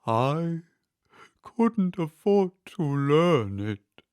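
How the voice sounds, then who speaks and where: A man plays a sorrowful old-fashioned storybook turtle who draws every word out with a sighing, mournful weight. The pitch sits fairly high for a male, and the sound is dry and close. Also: sing-song, darkish tone, clean tone, no echo, full character voice. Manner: drawn-out, mournful delivery with long stretched words. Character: melancholy, sighing, slow male Mock Turtle. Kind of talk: storybook character reading.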